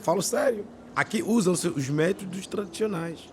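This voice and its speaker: A man's voice: high voice, moderate pace, darkish tone, slight background noise, natural voice. An actor playing a worldly, resigned senior teacher in a radio drama, familiar and a little patronising with his colleague. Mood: amused, advising.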